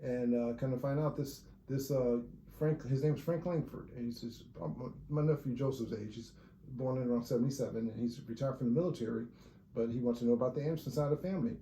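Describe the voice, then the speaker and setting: A man with a mellow, warm voice talks haltingly about family history, full of pauses and restarts. The room around him sounds echoey. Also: medium pitch, slight background noise. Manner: halting, many fillers and restarts while recounting genealogy. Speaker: relative talking about family research. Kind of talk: casual conversation.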